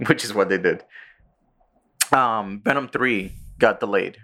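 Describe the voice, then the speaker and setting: A man's voice: lowish voice, a little slow, sharp tone. A man co-hosting a podcast, chatting casually about movie news, rambling with lots of ums and likes and frequent swearing. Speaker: podcast co-host.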